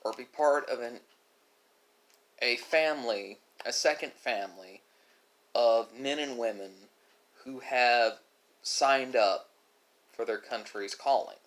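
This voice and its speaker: A man speaking off the cuff to his viewers in a philosophical monologue, halting often and correcting himself as he goes. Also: mid-range voice, a bit bright. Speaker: ASMR video creator. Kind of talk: unscripted musing monologue to viewers during a mukbang.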